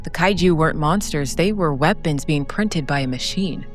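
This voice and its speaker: Woman telling a dramatic game story, solemn n deliberate, short phrases, bit of music under it. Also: low-pitched. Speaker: solo role-playing narrator. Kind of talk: scripted dramatic storytelling narration.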